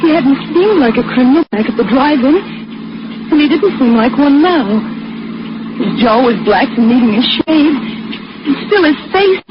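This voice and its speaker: An actress playing a frightened, pleading young captive in a 1940s radio drama, halting and breathless. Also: female voice, high voice, animated, noisy background. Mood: shaken, recounting. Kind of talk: 1940s radio drama acting, first-person narration and dialogue. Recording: dull vintage radio, loud music behind.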